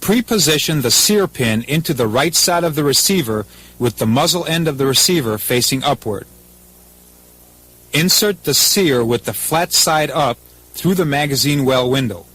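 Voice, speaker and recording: A man's voice: on the high side, animated, moderate pace, a bit bright, slightly rough, rough recording. A man with an American accent reading scripted instructions as a 1980s military training film narrator, in a formal, methodical, impersonal manner.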